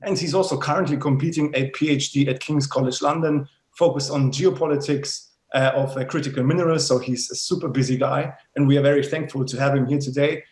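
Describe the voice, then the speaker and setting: A man hosting a webcast, introducing a guest warmly and repeating words for emphasis. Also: medium pitch, steady tone, fairly quick, hardly any pauses, a little hiss or hum. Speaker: host. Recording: echoey room.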